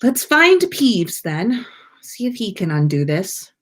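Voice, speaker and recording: A woman's voice: medium pitch, big pitch swings, takes it slowly. A woman voicing an amused, awkwardly apologetic teenage wizard boy who speaks hesitantly, in a story read-aloud. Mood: casual. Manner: hesitant, stifling laughter. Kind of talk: animated fan fiction read-aloud.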